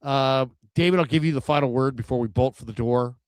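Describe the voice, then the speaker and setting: A man's voice: mid-range voice, clean tone, no room sound. Stuffed-up, nasal man on a sports show. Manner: halting, searches for words. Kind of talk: casual sports talk show.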